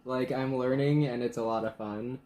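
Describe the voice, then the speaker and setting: Joking young man, relaxed chat. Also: mid-range voice, moderate pace. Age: young adult. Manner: relaxed, joking, chuckles a lot. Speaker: channel co-host. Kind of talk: casual get-to-know-you chat. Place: small bedroom indoors.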